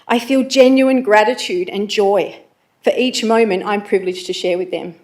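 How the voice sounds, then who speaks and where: A woman's voice: mid-range voice, fairly even intonation, normal speed, a little mellow. An Australian woman, a councillor, delivering an earnest, confident prepared speech at a council meeting.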